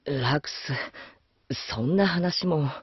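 A man playing a gentle, easygoing young man in an anime audio drama, soft-mannered and informal. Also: fairly high pitch, slow pace, breathy edge, no room sound. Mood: mild, casual. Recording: dull lo-fi audio. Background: music.

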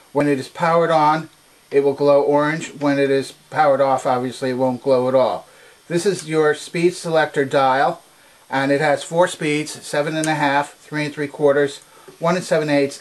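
A man giving an instructional demonstration in a methodical, precise, explanatory way. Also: fairly high pitch. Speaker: demonstrator of a vintage machine. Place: indoor workshop at a workbench.

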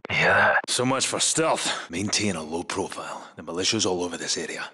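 An actor playing a tough, commanding Scottish special forces soldier who gives clipped military callouts in a shooter video game. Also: male voice, low voice, lively intonation, fairly quick, sharp tone, slightly rough, full character voice. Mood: low, tense. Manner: clipped, terse radio-style military callouts. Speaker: video game squad leader.

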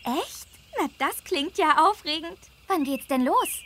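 A woman voicing a curious, excited young girl on holiday in a children's game, eager and full of wonder. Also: high-pitched, unhurried, background noise. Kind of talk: children's game dialogue acting.

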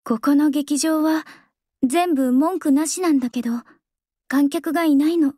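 Game idol singer: composed and soft-spoken, high and dry close-mic sound. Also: female voice, measured pace, no echo. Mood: calm. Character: young female idol singer, cool and earnest. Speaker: game character voice. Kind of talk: game voice lines. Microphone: close studio mic, dry.

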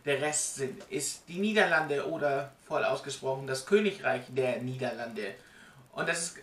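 A man's voice: mid-range voice, normal speed, a bit bright. A man, chattily explaining things to camera with emphatic, direct address, recorded on a webcam in a room at home. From Germany. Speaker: vlogger. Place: small indoor room at home. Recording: roomy, distant webcam sound.

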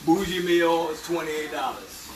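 A middle-aged man hosting a casual home cooking livestream, talking through the steps with relaxed, joking slang. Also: fairly high pitch, a little slow, over a noisy crowd, rough recording. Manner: relaxed, jokey, playful slang. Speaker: home cooking show host. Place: home kitchen, indoors. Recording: sizzling pan and kitchen clatter.

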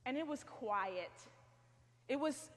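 A woman's voice: high-pitched, animated, takes it slowly. A young woman preaching a sermon, telling stories animatedly and acting out what she says. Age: young adult. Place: large stone chapel. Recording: long hall reverb.

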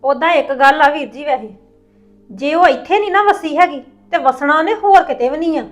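Woman as an outspoken relative, high voice, argumentative. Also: lively intonation, a little fast, faint music behind. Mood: arguing.

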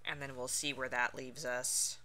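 A woman's voice: very deep voice, flat delivery, moderate pace, pushed and bright. A woman streamer giving casual, playful commentary on a video game, reacting aloud as she plays. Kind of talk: casual let's play commentary.

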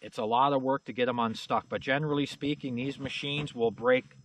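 A man's voice: mid-range voice, steady tone, a little fast, a bit bright, rough recording. Man, middle-aged, folksy, casual walkaround vlog, outdoors, faint outdoor noise. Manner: folksy, plain-spoken, lots of fillers like "you know" and "uh". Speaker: channel host showing snowmobiles. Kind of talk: casual rambling walkaround talk. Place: outdoors in a snowy yard.

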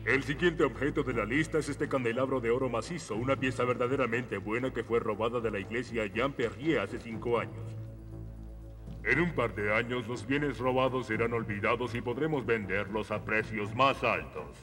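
Formal shady auctioneer voiced by a man, mid pitch. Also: moderate pace, fairly bright tone, close to an everyday voice. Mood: businesslike. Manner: formal, businesslike. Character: shady male auctioneer of stolen treasures. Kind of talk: dubbed animated adventure acting. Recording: clear music behind the voice.

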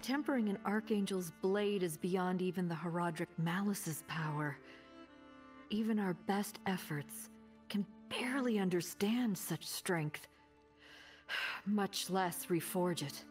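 A woman playing a serious, doubtful blacksmith in a video game, measured and sober. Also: fairly low pitch, recorded from a distance, soft background music. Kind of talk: dramatic video game dialogue.

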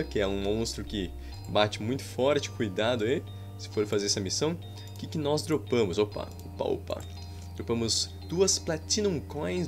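Playful Brazilian man narrating his game. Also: medium pitch. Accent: Brazilian Portuguese. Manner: jokey, exclaims and sighs at game events. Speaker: gameplay streamer. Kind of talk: playful gameplay commentary. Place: small home room. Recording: faint game music behind.

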